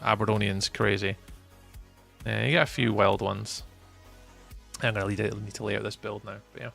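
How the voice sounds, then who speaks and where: A man's voice: on the low side, fairly even intonation, normal speed, gravelly, a bit bright, faint music behind. A Scottish man from near Edinburgh chatting casually and teasingly with viewers on a live stream, laughing often. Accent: Scottish, Edinburgh area. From Scotland. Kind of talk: casual live-stream chat while gaming.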